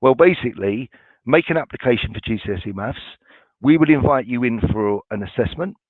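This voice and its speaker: A man's voice: mid-range voice, lively intonation, brisk, husky, dry recording. A man from a college staff answering viewer questions in a webinar, informal and reassuring, with many ums and restarts. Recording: dry, tinny phone-like sound.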